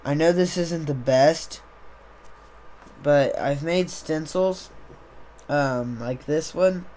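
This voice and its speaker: A young man, slightly hoarse, chatting casually on a live stream into a desk mic on a boom arm, rambling with many fillers. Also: takes it slowly, darkish tone, a little hiss or hum. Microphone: desktop USB mic on a boom arm.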